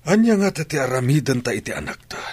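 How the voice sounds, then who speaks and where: A man's voice: medium pitch, sing-song, fast talker, a little mellow, breathy edge, no room sound. A man with an Ilocano accent playing a troubled, indignant middle-aged father of a grown son in a radio drama. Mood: dismayed.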